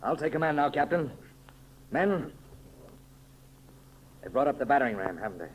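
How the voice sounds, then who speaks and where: A man's voice: mid-range voice, sing-song, normal speed, lightly acted. An actor playing a bold, heroic male adventurer who commands his men in an urgent, declamatory 1930s radio serial style. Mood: brisk, resolute. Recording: vintage 1930s radio recording, loud crowd noise.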